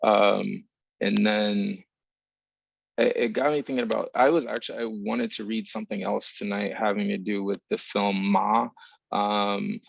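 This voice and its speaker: A man with a lowish voice and noticeable fry speaks thoughtfully and haltingly, like a writer reflecting on a panel. His delivery is fairly even, and the sound is dry and somewhat dull, as on lo-fi call audio. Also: dry recording. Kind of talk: reflective, informal panel answer.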